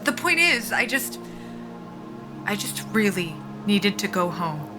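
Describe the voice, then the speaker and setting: An actress playing an anxious, self-deprecating young woman soldier in a sci-fi audio drama, halting and wry in a naturalistic style. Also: medium pitch, very animated, over music. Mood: halting, subdued.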